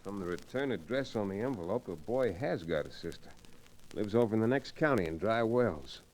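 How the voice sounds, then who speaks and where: An actor playing an easygoing, generous young frontier lawyer in an old-time radio western, with a folksy, wry manner. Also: male voice, fairly low pitch, animated, a little fast, darkish tone, close to an everyday voice. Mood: kindly. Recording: noisy vintage radio recording.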